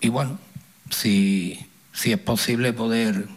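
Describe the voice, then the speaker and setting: Male coach, slow and halting, lowish voice. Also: steady tone, low-quality recording. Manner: hesitant, halting.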